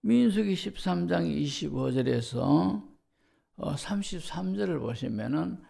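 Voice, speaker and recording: A male pastor with a gravelly voice and a Gyeongsang Korean accent, preaching in a didactic way and putting rhetorical questions to his listeners. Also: fairly high pitch, animated, unhurried, dark tone. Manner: didactic, enumerating, checks in with listeners. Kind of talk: Sunday sermon, expository with rhetorical questions.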